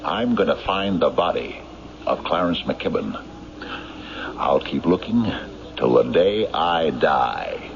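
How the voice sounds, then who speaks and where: A grimly determined small-town sheriff in an old-time radio play. His voice is extremely low and gravelly, his delivery terse and halting. The muffled broadcast has faint noise. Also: sing-song, heavily acted. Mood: resolute.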